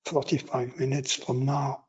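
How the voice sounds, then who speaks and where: A man teaching meditation, giving guided instructions in short, unhurried phrases with long pauses between them. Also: mid-range voice, monotone, darkish tone, slightly rough, muffled recording.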